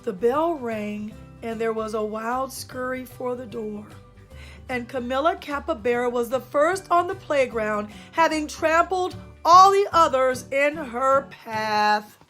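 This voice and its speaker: A woman storyteller giving an expressive children's read-aloud with dramatic emphasis. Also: sits high, takes it slowly, faint music behind. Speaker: storyteller and show host.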